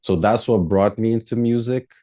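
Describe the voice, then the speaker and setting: Man from Brazil, very low voice, mellow tone, relaxed reflective interview guest. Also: normal speed. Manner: relaxed, reflective, laughs easily. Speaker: musician guest. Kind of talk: casual interview chat.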